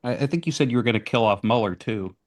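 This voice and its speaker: A man speaking casually as a voice actor on a panel, measured and thoughtful, sometimes repeating words as he tells anecdotes. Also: medium pitch.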